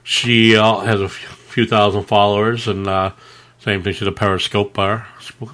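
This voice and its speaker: A man with a fairly low voice talks as a podcast co-host. His delivery is informal and rambling, like loose live chat among friends, with some rough language. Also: flat delivery, moderate pace, a bit bright.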